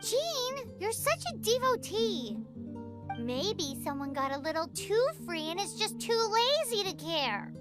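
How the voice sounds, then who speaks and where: A woman's voice: very high voice, big pitch swings, measured pace, music in the background, exaggerated voice. A woman voicing a small, childlike fairy companion in a video game, blunt, teasing and outspoken. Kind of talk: animated video game voice acting.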